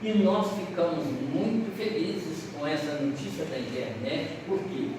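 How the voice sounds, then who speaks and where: A high-voiced Brazilian man leads a seminar as an animated storyteller, stretching out words and stopping to fix his own slips. He sounds set back from the mic in a long, echoing hall with a faint hiss. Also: recorded from a distance. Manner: animated storyteller, pausing on words and correcting himself aloud. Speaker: lecturer at a Spiritist seminar. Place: lecture hall.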